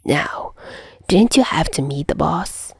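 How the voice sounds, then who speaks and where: A woman voicing a stern, weary male doctor with a weak, breathy tone, chiding but caring, in an audio story. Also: deep voice, expressive, slow pace, dry recording, whispered, clean studio sound, heavily acted. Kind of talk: amateur voice acting in fan fiction reading.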